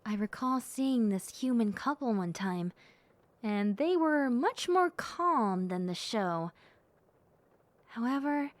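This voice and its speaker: A woman voicing a gentle, cheerful young bat girl in an intimate audio roleplay, speaking earnestly and reflectively to the listener. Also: medium pitch, dry recording, lightly acted. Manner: soft-hearted, earnest, reflective, unhurried.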